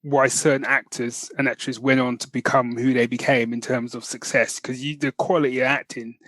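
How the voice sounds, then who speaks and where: A man co-hosts a podcast in a very dry, close-sounding recording. His mid-pitched voice is informal and colloquial. He talks as in casual chat, often turning to check that he is followed. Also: flat delivery, brisk, a bit bright, no echo. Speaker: podcast co-host. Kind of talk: casual chat about geek news.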